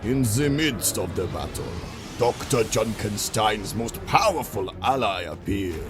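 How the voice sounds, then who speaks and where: Guy playing a grave horror narrator, slow and dramatic, big swings in tone, faint music. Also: lowish voice, lively intonation. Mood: ominous, dramatic. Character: grave, theatrical storyteller of a gothic horror tale.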